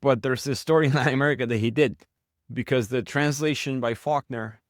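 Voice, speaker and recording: A man speaking as an interview guest in a casual conversation, reflective and halting, often restarting his sentences. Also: medium pitch, steady tone, no echo, clean studio sound. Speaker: guest, founder of a literature platform.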